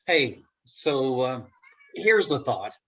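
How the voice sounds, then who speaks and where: A man playing an awkward, wry, nervously hopeful office worker in a comedic play reading, speaking haltingly. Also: mid-range voice, very animated, bright, forceful tone, lo-fi sound, exaggerated voice.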